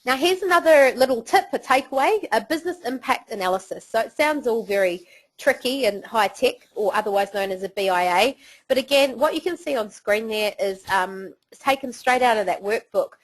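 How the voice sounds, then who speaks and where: A woman's voice: on the high side, animated, moderate pace, rough recording. A middle-aged woman with a New Zealand accent presenting a business webinar, chatty and encouraging with frequent fillers.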